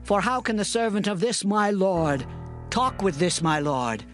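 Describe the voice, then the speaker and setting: Humble biblical prophet played by a man, high male voice, reverent and deliberate, faint music behind. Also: expressive, a bit bright. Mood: weak, sorrowful. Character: humble, awestruck male prophet recounting his vision. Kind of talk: dramatized scripture narration.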